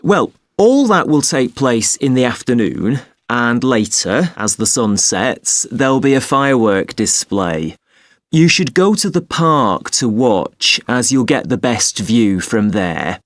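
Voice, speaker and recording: A man playing a helpful, courteous festival box office clerk in a scripted listening-test dialogue, speaking clearly and informatively. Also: mid-range voice, sing-song, slow, dry recording, clean studio sound. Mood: helpful, polite.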